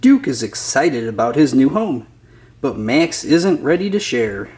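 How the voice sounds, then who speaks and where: A man's voice: mid-range voice, very animated, measured pace, a little mellow. A man reading a children's picture book aloud as a scripted narrator.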